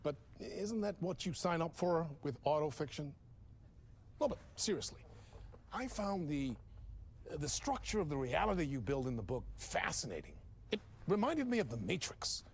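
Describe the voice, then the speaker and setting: An actor playing a flamboyant TV talk show host in a game cutscene, over-the-top and effusive. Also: male voice, high voice, creaky, husky, exaggerated voice.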